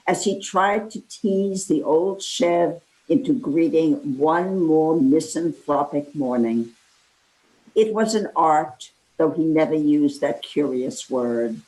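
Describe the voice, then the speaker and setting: A woman reading a narrative poem aloud in a measured, deliberate way. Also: deep voice, dark tone, rough recording. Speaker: poetry reader.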